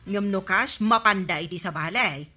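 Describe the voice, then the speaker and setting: A woman's voice: medium pitch, unhurried, pushed and bright, soft background music. A woman with an Ilocano accent playing a hot-tempered, aggrieved village creditor in a radio comedy drama, indignant and whining. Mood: complaining.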